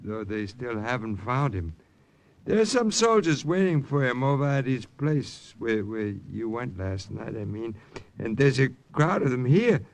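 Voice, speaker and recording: A smug, sly young man in an old radio thriller. His falsely casual needling slides up and down in pitch, with the odd stammer, on a vintage broadcast recording. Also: big pitch swings, measured pace. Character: smug, sly, weaselly young brother turned informer. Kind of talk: 1940s-50s radio drama acting.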